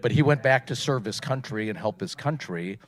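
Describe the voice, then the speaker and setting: A man's voice: mid-range voice, expressive, brisk. A middle-aged man from Poland hosting an event on stage, talking casually into a microphone and rambling with many fillers. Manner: chatty, rambling, frequent fillers. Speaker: event host.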